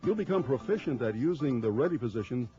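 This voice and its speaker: An American man reading scripted instructional narration for a training film in a measured, formal manner. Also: mid-range voice, lively intonation, over music. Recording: dull lo-fi training film soundtrack.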